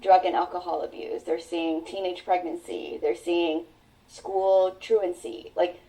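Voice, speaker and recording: A woman's voice: lowish voice, little pitch movement, warm tone. A woman whose first language is Mandarin, telling her personal story as a podcast guest in an earnest, reflective way. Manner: earnest, reflective, drawn-out phrasing. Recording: voice sounds distant from the mic.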